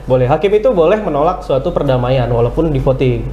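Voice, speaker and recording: A male guest lawyer explaining debt law through lively worked examples on a podcast. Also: mid-range voice, quick pace, reverberant, muffled recording, slight background noise. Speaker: guest insolvency lawyer and curator. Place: podcast studio.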